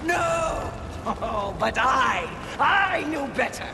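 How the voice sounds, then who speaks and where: A woman's voice: sits high, animated, full character voice. A woman playing a jealous, treacherous female sin demon in a video game, gloating theatrically. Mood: triumphant, raging. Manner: theatrical, gloating, drawn-out delivery. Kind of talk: video game villain acting. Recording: echoey hall, heavy noise behind.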